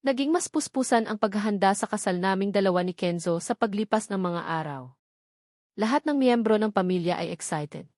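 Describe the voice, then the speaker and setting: A woman's voice: mid-range voice, lively intonation, brisk, a little mellow, dry recording, studio quality. A woman with a Filipino accent reading a scripted first-person romance story as an audiobook narrator.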